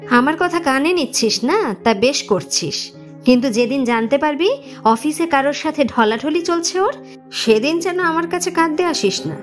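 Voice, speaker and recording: The nagging older mother-in-law at the gate of a family audio drama, as a woman narrator plays her, high, harsh and full of scornful suspicion. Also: animated, moderate pace, soft background music. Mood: bitter, accusing.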